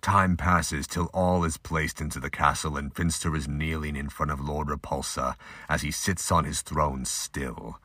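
A man's voice: extremely low pitch, steady tone, unhurried, sharp tone, no room sound, studio quality. A man giving scripted audiobook-style narration of a fantasy audio drama. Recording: noisy amateur home recording, clicks.

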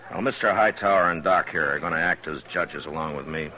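An actor playing a terse, unsmiling western marshal with quiet authority in a radio drama. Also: male voice, extremely low pitch, a little fast, poor audio. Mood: commanding. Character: stern, laconic frontier marshal, weary but firm. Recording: old radio recording, crowd behind.

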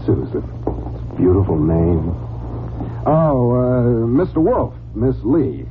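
A man's voice: medium pitch, sing-song, slow pace. An actor playing a flippant, flirtatious detective's legman in 1940s radio style, with wry patter. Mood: smitten, flirtatious. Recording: tinny, noisy old radio recording.

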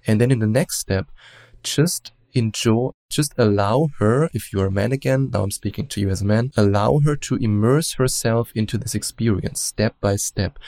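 Gravelly German-accented man. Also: on the low side, dark tone, dry recording. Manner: explanatory, measured, hedges with "let's say". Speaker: relationship coach. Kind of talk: interview guest giving advice.

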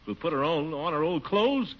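A man's voice: fairly high pitch, lively intonation, normal speed, lightly acted. An actor playing a blustering, pompous middle-aged male city official who gives a hearty laugh, in 1940s radio sitcom style. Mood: eager. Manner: jovial, boastful, sneaky excuses. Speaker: Gildersleeve, water commissioner. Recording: muffled old radio broadcast, faint hiss.

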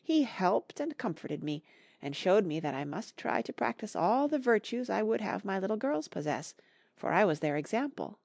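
A patient, middle-aged mother from a classic storybook, acted by a woman reader. She gives tender, humble counsel with expressive intonation and a creaky edge. The recording is very dry. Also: mid-range voice, no room sound. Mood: reflective, tender. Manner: soft, tender, measured moral counsel. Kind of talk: acted audiobook dialogue from a classic novel.

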